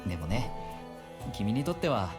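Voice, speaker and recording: An actor playing a gentle, earnest man in an anime visual novel. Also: fairly low pitch, sing-song, quick pace, music in the background. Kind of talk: Japanese anime game acting.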